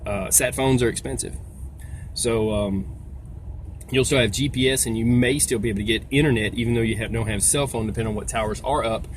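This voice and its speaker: A man's voice: medium pitch, brisk. Man rambling casually over background noise. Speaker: video host, trucker. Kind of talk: casual explainer vlog. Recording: noisy, vehicle-like background sound.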